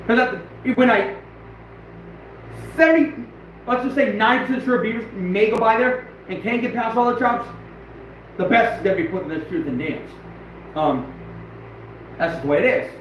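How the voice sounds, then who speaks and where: A hoarse, fairly high-voiced man hosts a rambling outdoor livestream, laughing often and getting worked up. He sounds distant from the phone, and the audio is heavily echoey and dull. A faint hiss sits underneath. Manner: rambling, laughing, blunt and excitable. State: hoarse, losing his voice. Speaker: trapper and channel host. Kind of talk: casual livestream chat.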